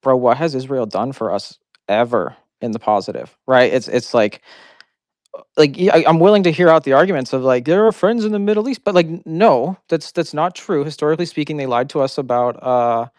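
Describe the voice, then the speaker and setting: A man's voice: medium pitch, fairly quick, a little mellow, no echo, studio quality. A man joining a live audio debate, rambling with slang and many fillers. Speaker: participant. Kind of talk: casual heated debate.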